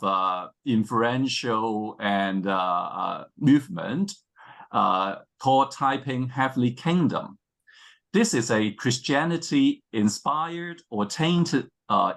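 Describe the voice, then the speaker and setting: A man's voice: mid-range voice, fairly bright tone. A man with a Cantonese accent, a native Cantonese speaker, gives an academic presentation as a panel scholar, often hesitating and rephrasing as he goes. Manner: halting, with frequent hesitations and self-rephrasing.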